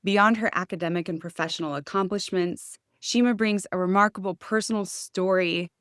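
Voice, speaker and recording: A woman hosts an interview show in a mid-pitched voice. She phrases things carefully, as if from prepared notes, and sounds articulate. The recording is dry, with very little room around her. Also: dry recording. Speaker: podcast host. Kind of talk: prepared introduction and interview questions.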